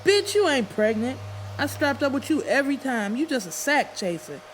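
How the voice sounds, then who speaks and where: A woman's voice: high voice, big pitch swings, moderate pace, slight background noise, voice pushed up. A woman voicing a dismissive, crude cheating man in an audiobook, blunt, insulting and commanding. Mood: scornful.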